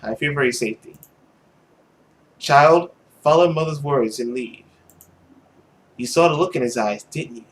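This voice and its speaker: A man's voice: mid-range voice, a little slow, pauses often, close to an everyday voice, low-quality recording. A man reads as a smothering mother in a visual novel. The delivery is stern and belittling, with a cooing condescension toward a child. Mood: stern, warning. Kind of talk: visual novel read-aloud character voice.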